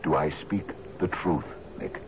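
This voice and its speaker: A man's voice: extremely low pitch, measured pace. An actor in a radio drama playing an expansive, dreamy sea captain in his fifties, musing theatrically with questioning little grunts. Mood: wistful musing. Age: 50s. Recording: dull, noisy 1970s radio recording.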